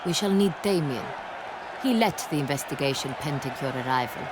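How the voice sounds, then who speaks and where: An actress playing an imperious, effusive young duchess, speaking in a regal, precise, theatrical manner. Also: female voice, deep voice, expressive, brisk, background noise. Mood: haughty, brisk. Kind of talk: theatrical game dialogue. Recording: music and crowd behind the voice.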